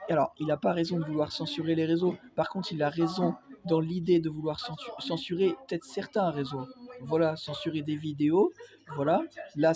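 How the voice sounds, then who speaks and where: Stuffy-nosed man rambling with crowd noise. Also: on the high side. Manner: hesitant, many fillers, insistent. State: has a cold. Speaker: vlogger. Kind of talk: rambling opinion vlog.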